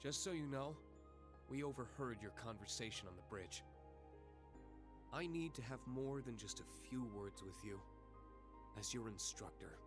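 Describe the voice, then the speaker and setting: An actor playing a stern, earnest young instructor in a video game, speaking firmly. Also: male voice, mid-range voice, expressive, normal speed, long pauses, over music, lightly acted.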